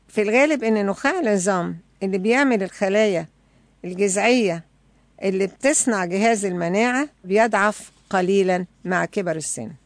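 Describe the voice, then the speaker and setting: Egyptian woman doing a health lecture, gravelly creaky voice, teachery, half reading half explaining, really dry recording. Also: mid-range voice, no room sound. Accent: Egyptian Arabic. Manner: didactic, switches between formal reading and colloquial explanation. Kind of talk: educational health lecture, partly read from notes.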